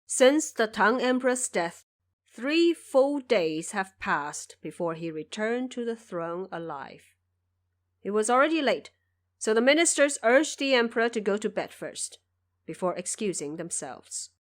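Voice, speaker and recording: Higher-voiced woman narrating an audio drama. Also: animated, a little slow. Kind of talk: dramatic scripted storytelling.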